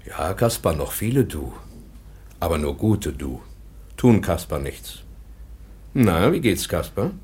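Deep, husky, patient professor. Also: male voice, very animated, slow, long pauses, a little mellow, full character voice. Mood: patient, soothing. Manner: soothing simple phrases, deferential to superiors. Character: gentle, patient schoolmaster professor, protective guardian. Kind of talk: German radio drama acting.

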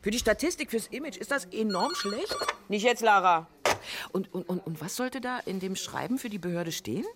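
Woman acting a harried female radio editor, bossy, chummy, very lively pitch, radio play. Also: moderate pace, very noisy, close to an everyday voice. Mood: flustered. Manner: familiar, bossy, interrupts themself. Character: brisk, harried, pushy radio drama editor. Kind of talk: naturalistic radio drama dialogue.

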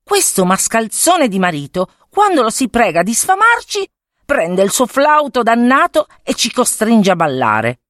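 A woman voicing a furious, exhausted miller's wife in a fairy tale, shrill and scolding. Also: big pitch swings, a little slow, a bit bright, dry recording, studio quality.

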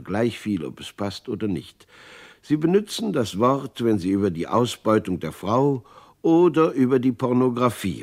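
A slow, brooding, deep-voiced uncle. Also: male voice, a little mellow, heavily acted. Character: worried, earnest uncle reading his diary aloud. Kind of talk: German radio play monologue.

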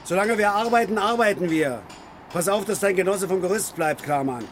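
Man acting a brash, high-pitched brigade boss. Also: moderate pace, a little hiss or hum, lightly acted. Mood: jeering. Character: brash mocking male construction brigade boss. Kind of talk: radio drama acting.

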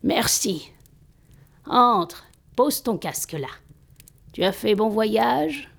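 A woman's voice: a little high, expressive, very slow, gravelly, exaggerated voice. An actress playing a blunt-spoken, hospitable female master in an audio drama, speaking with familiar, instructive assurance. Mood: welcoming.

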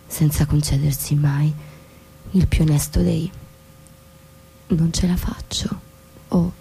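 Disillusioned wife, stage drama: low, soft-toned, deliberate, coldly defiant; rumbly noise behind. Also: female voice, fairly even intonation, vocal fry, full character voice, low-quality recording. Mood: sombre, resigned. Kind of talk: theatrical stage-play acting for radio.

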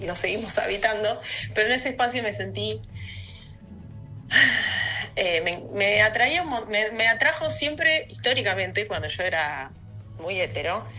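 An Argentine woman telling a personal story in a recorded message, reflective and hesitant, pausing between phrases. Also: medium pitch, pushed and bright, rough recording.

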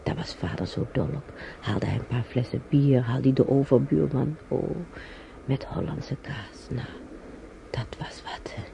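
A frail old woman in a radio play, bedridden, wistful and plucky. Her voice is very dark and soft, badly husky and often breaking into gravel. She talks slowly and haltingly to herself, sighing between thoughts as she looks back. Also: rumbling low, in a whisper, exaggerated voice. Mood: fond, wistful. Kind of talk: radio drama monologue.

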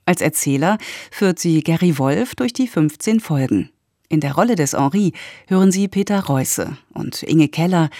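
A man's voice: a little high, a little mellow, no echo, clean studio sound. A man, a radio announcer, giving a formal introduction to a radio drama series. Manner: clear, announcing.

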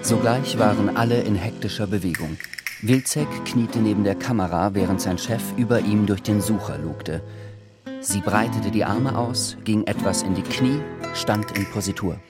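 An actor as the composed narrator of a literary radio drama, measured and precise. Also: male voice, lowish voice, loud music behind the voice, close to an everyday voice. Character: composed, observant male storyteller.

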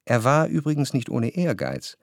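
An actor playing a reflective, refined gentleman narrator in a radio drama, measured, precise and gently ironic. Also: mid-range voice, wildly swooping pitch. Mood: reflective, wry.

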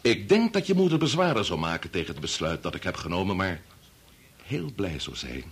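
An actor playing a grave middle-aged chief bacteriologist and family man torn by conscience, speaking formally and deliberately in a radio play. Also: low voice, sing-song, husky, exaggerated voice. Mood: grave, explaining. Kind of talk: Dutch radio play acting.

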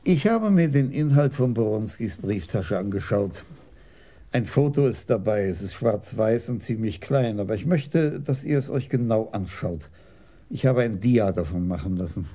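An actor playing a methodical, commanding police chief in a German radio crime drama, speaking in a deliberate, formal way. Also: male voice, on the low side, expressive, dark tone. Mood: methodical, businesslike.

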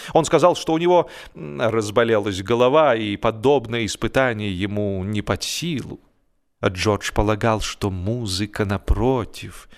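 Theatrical male narrator of comic prose. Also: medium pitch. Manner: theatrical, mock-solemn. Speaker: audiobook narrator. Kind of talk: expressive comic literary narration.

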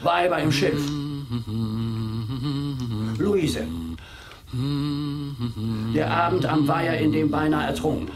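A man reciting scraps of a soldier's life in a radio play. His voice is fairly high and very measured, in short staccato phrases, with a loud crowd behind him. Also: fairly even intonation. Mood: recalling, clipped.

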